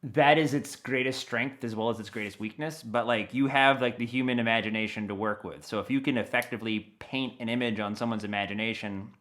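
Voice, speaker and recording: An American man giving a casual interview, rambling in an animated way as he thinks aloud. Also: mid-range voice, brisk, few pauses. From United States. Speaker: interview guest, audio dramatist.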